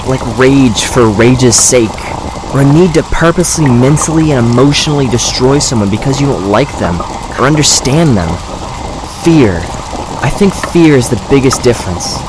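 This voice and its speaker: Man acting as patient dragon in human guise, thoughtful, measured, mid-pitched, audio drama, clear background noise of rain. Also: natural voice. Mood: musing, candid. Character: male dragon in human form, patient and reflective. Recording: steady noise behind the voice.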